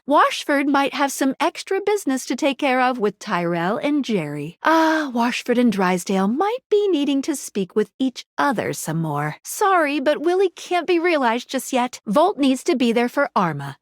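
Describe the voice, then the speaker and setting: An actress voicing a perky, cheeky guide character in a dating video game, with a chirpy, teasing and expressive delivery. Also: female voice, high voice, moderate pace, hardly any pauses, no room sound, clean studio sound. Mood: chirpy, helpful.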